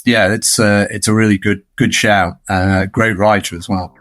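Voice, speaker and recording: A man's voice: fairly low pitch, little pitch movement, moderate pace, fairly bright tone. A man speaking as an expert podcast guest, giving conversational analysis in a discursive way with emphatic repetition.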